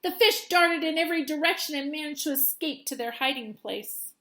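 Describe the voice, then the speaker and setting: A woman's voice: high-pitched, a little fast, fairly bright tone. A woman teacher reading a children's storybook aloud expressively to her students.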